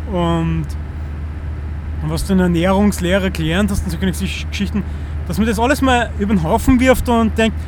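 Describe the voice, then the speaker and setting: High-voiced Austrian man in his thirties. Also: background noise. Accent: Austrian German. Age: 30s. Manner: earnest, searching for words, frequent restarts. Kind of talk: casual rambling monologue.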